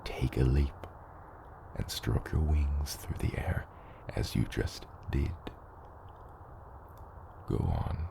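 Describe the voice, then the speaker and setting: An extremely low, gravelly dragon father, acted by a man, mentors a hatchling in an ASMR roleplay. He takes his time, patient and gently teasing, with some whispery edges. Clear ambient noise sits behind the voice. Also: exaggerated voice. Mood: encouraging, amused. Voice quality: very deep, gravelly, partly whispery. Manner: slow, measured mentoring with hesitant asides.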